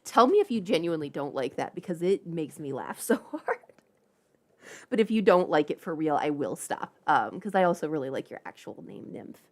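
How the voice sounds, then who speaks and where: Female streamer, slightly low voice, informal and playful, talking off the cuff to viewers. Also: sing-song, normal speed, darkish tone. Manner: playful, informal, chatty asides. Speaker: livestream host. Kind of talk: casual livestream chat.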